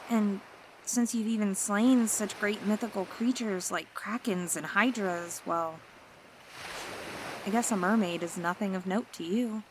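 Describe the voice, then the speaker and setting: A woman voicing a seductive, scheming mermaid in an ASMR roleplay, talking in a teasing, flattering, coaxing way. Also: medium pitch, unhurried, noisy background, close to an everyday voice.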